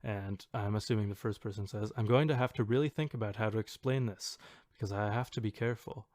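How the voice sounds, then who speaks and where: A man's voice: fairly low pitch, fast talker, darkish tone. A man co-hosting a casual podcast, chatting and reading posts aloud in an amused, sometimes hesitant way. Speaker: podcast co-host.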